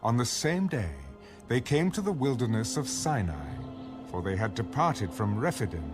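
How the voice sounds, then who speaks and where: Animated male scripture narrator over music. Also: medium pitch, normal speed.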